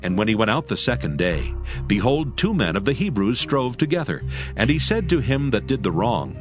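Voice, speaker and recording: A man narrating scripture in a measured, solemn reading. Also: medium pitch, very animated, over music.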